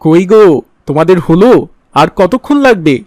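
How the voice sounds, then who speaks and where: A man's voice: high-pitched, lively intonation, normal speed, a little mellow, no echo, clean studio sound. A man playing a good-natured, hospitable father in an Islamic cartoon, familiar and coaxing in manner.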